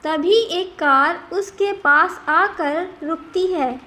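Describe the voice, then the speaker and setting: A woman's voice: very high-pitched, slow pace, sharp tone. A woman narrating a scripted children's cartoon story.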